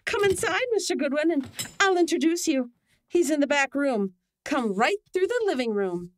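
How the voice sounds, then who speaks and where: A woman acting an excitable, dramatic character in an old-time radio melodrama, exclamatory in delivery. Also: high voice, normal speed, a little hiss or hum. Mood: bitter, distraught. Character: excitable, slangy crook or distraught daughter in radio melodrama.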